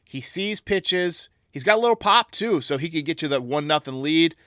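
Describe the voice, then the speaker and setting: A man with a bright, forceful voice makes his case with emphasis and conviction as he answers fan voicemails. His voice sits a bit higher than most men's. The sound is close and dry, with no room around it. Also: animated, moderate pace, no echo. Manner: emphatic, argumentative. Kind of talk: casual opinionated sports talk.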